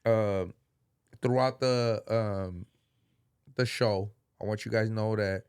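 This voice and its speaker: A middle-aged man hosts a casual podcast, his voice congested yet smooth and clear. He rambles through fillers and street slang at an easy pace. The sound is dry and close, with no room in it. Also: lowish voice, fairly even intonation, slow, a little mellow, dry recording. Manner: rambling, filler-heavy, laid-back slang. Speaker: podcast host. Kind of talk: casual chat.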